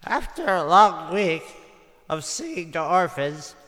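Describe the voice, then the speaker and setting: An actor playing a cackling, tipsy old horror host with a theatrical, drawn-out, campy delivery. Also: male voice, fairly high pitch, very animated, pushed and bright, full character voice. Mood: gleefully macabre. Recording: long cavernous reverb.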